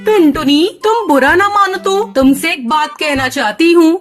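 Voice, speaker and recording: Woman as a high-pitched, bossy bird shopkeeper. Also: moderate pace, close to an everyday voice. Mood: coaxing. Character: bossy, businesslike older female bird shopkeeper. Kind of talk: children's cartoon voice acting. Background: music.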